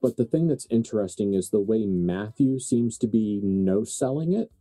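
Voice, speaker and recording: A man with a very dark, soft-edged, lowish voice narrates a tabletop horror game. He improvises as game master in deliberate, halting phrases, with faint music underneath. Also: lively intonation.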